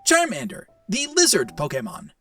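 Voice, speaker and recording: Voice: mid-range voice, wildly swooping pitch, moderate pace, music in the background. A performer voicing an informative electronic creature encyclopedia from a video game, in a formal announcing manner.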